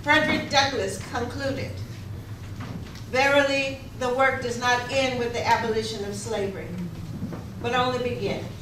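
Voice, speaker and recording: A woman's voice: fairly high pitch, steady tone, moderate pace, distant mic. Woman, formal stage reading, echoey room, noisy recording. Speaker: readers theater reader. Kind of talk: formal historical narration. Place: echoey room, live rehearsal.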